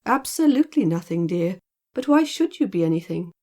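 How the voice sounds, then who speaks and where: A mellow-voiced storybook gentleman, acted out by a woman reader, lazy and teasing. He speaks in playful rhetorical flourishes, mock-solemn and theatrical. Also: mid-range voice, animated, moderate pace, close to an everyday voice. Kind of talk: audiobook character dialogue.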